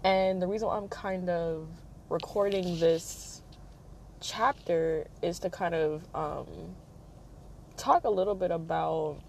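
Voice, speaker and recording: A young woman hosting her own podcast, talking casually in a monotone, rambling manner with lots of fillers. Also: takes it slowly, vocal fry, a little hiss or hum. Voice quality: monotone, low-key delivery. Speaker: podcast host. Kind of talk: casual personal monologue.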